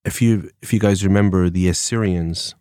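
A man with an English accent and a very low voice, chatting as a podcast guest. He wanders from point to point with lots of ums and restarts, and the studio sound is close and dry. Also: fairly even intonation, normal speed, warm tone, smooth, no echo. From England. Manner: chatty and digressive, many fillers and restarts. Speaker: podcast guest, former police officer. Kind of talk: casual conversation. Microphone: studio microphone.

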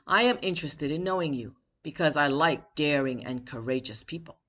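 A woman who hosts her own podcast, reading one of her personal essays aloud in a measured, deliberate way with emphatic pauses. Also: deep voice, a bit bright. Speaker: author and podcast host.

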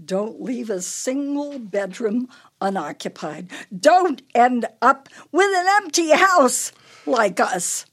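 A woman's voice: fairly high pitch, very animated, slow, exaggerated voice. A woman playing an imperious, brittle older wife with a failing memory in a radio play, her delivery theatrical and needling. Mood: teasing, bright.

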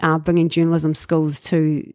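A New Zealand woman in her fifties, telling her life story in a relaxed interview, reflective with frequent fillers and light laughs. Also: low voice, moderate pace, no room sound, clean studio sound. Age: 50s. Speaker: guest. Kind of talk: casual interview chat.

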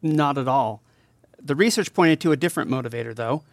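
A man's voice: medium pitch, a little fast, no room sound, natural voice. A man acting as a thoughtful advocate interviewed in an audio drama, explaining earnestly and didactically. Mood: earnest, explanatory. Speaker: interview guest.

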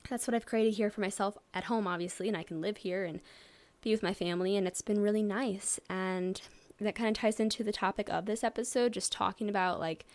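A woman in her mid-twenties talks on her own podcast in a loose, rambling way. She speaks at an everyday middle pitch and fills her talk with likes and you knows. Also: fairly even intonation, a little fast. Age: 20s. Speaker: podcast host. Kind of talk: casual solo chat.

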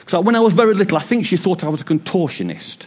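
A man preaching a sermon in church, a bit croaky, with a joking, warm delivery. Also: high-pitched, lively intonation, rapid-fire. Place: church hall with congregation. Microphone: wireless mic.

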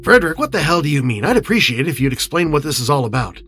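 A man voicing an indignant, self-assured man with a brisk, affronted manner in an audiobook. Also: a little high, big pitch swings, a bit bright, slight background noise, voice pushed up.

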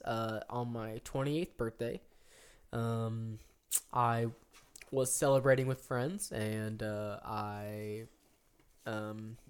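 A man around thirty, co-hosting a casual chat podcast with a middle-range voice. He talks at length, filling pauses with ums and uhs and restarting sentences. Now and then he lifts into lively, excited bursts. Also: medium pitch, smooth. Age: 30s. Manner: rambling, lots of ums and restarts, animated bursts. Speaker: podcast co-host.

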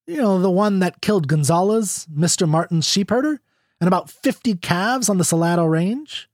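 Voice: medium pitch, normal speed, a little mellow, no room sound, studio quality, voice pushed up, natural voice. A reader voicing a young ranch woman who sounds sweet, pleading and girlish. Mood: boastful.

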